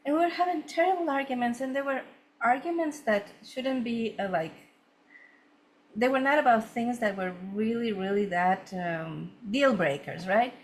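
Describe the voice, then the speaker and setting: A woman's voice: on the high side, moderate pace. A woman from Ecuador, a feng shui consultant, telling her story earnestly in a conversational interview with many fillers and restarts.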